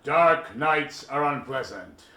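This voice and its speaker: An actor playing a solemn, commanding secret lodge master, speaking ceremonially in a radio drama. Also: male voice, medium pitch, expressive, pushed and bright. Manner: slow, ceremonial, stern. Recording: roomy echo, faint background noise.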